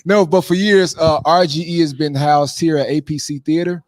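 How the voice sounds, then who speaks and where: A man's voice: a little high, steady tone, moderate pace, no echo, studio quality. A man being interviewed casually as a playwright and producer, talking informally and punctuating his thoughts with "man" and "you know".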